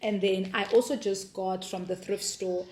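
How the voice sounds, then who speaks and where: A woman in her thirties with a South African accent, talking through her homeschool plans in a relaxed, casual way. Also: medium pitch, normal speed, roomy sound, a little hiss or hum. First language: not Afrikaans. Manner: relaxed, many ums and restarts. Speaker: homeschooling mom and vlogger. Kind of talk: casual explainer vlog.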